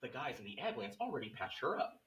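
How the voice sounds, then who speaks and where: A woman's voice: very deep voice, big pitch swings, fast, a bit bright, heavily acted. Woman as worried passerby, distant and echoey. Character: worried passerby who found an injured woman. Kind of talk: amateur script table read. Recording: echoey room, distant from the mic.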